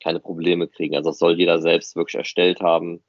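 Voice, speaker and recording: Male podcast host, deep-leaning voice, casual conversational delivery, muffled lo-fi sound. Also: level tone, brisk, no room sound. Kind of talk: casual unscripted podcast chat. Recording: muffled, dry, band-limited audio.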